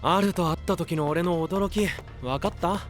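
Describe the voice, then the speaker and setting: Man as gentle young knight, lightly high voice. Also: normal speed, close to an everyday voice. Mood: wary. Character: gentle, level-headed young male companion knight. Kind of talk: anime-style voice drama. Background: music.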